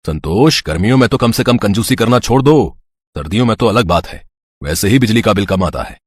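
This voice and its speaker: A man's voice: fairly low pitch, expressive, brisk, breathy edge, no room sound, studio quality. A man voicing a mild middle-aged husband and father-in-law in a cartoon moral story, patient but chiding. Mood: reasoning.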